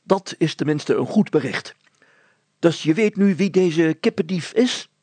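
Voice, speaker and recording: A man's voice: a little high, lively intonation, a little slow, a little mellow, husky, no room sound, pitched above the performer's own voice. A man voicing a fussy, officious village mayor in a story, blustering and easily exasperated. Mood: eager, impatient.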